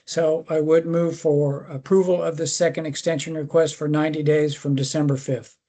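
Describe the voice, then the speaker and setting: Even-toned, higher-pitched man in a meeting. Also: normal speed. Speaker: commission member presenting permit cases. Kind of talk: formal public meeting discussion.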